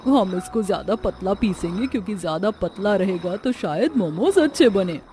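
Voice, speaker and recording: A woman playing women of a joint family in a Hindi cartoon story, with expressive, earnest dramatic delivery. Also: medium pitch, normal speed, slight background noise, close to an everyday voice. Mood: earnest, homely. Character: women of a joint family, dutiful daughters-in-law and mothers-in-law.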